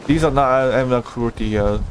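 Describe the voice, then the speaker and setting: A man livestreams a video game with casual, think-aloud commentary. His voice sits at an ordinary pitch, with game music playing clearly behind him. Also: brisk, slight background noise. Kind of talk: casual live gameplay commentary.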